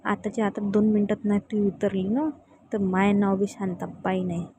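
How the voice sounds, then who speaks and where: A woman's voice: mid-range voice, level tone, moderate pace, dark tone, slight background noise, lightly acted. A woman with a Varhadi Marathi accent voicing a bossy, stern village mother who scolds in an amateur cartoon comedy. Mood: threatening. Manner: scolding, repetitive commands.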